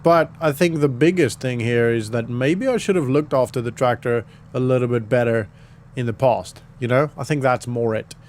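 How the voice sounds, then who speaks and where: A man with a croaky voice giving relaxed, rambling commentary on a farming game, with many fillers. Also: mid-range voice, moderate pace, slight background noise. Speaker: gaming YouTuber. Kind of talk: casual gameplay commentary.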